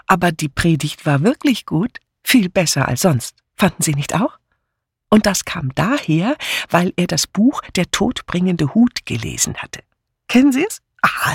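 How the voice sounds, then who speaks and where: A man's voice: a little high, sing-song, normal speed, slightly rough, no room sound, studio quality, pitched above the performer's own voice, heavily acted. A man voicing a chatty, scatterbrained middle-aged vicar's wife who rambles on breathlessly in an audiobook reading. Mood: gushing. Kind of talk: audiobook character voice.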